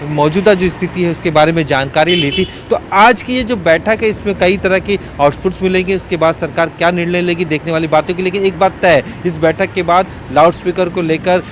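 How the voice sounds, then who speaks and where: Higher-voiced male field reporter, faint street noise. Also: brisk, hardly any pauses. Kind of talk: live unscripted news report.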